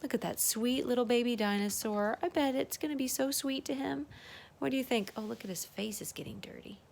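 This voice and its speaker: A woman's voice: on the high side, fairly quick, creaky, a little mellow, slight background noise. A woman reading a children's storybook aloud as a circle-time teacher, adding chatty asides, exclamations and questions for young listeners.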